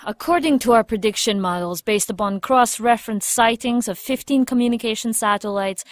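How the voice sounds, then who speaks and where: A woman's voice: on the high side, fairly quick, no echo, clean studio sound, natural voice. A woman playing a confident, teasing ex-agent and operations chief in an audio drama, with a playful, coaxing manner. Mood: sly, persuasive.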